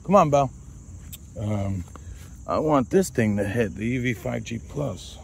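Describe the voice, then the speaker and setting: A man's voice: medium pitch, lively intonation, unhurried, vocal fry, a little hiss or hum. A man chatting casually and rambling as he vlogs outdoors, trying out handheld radios with short test calls. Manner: rambling, talks to his dog. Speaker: vlogger testing handheld radios. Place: outdoors in a grassy field by woods.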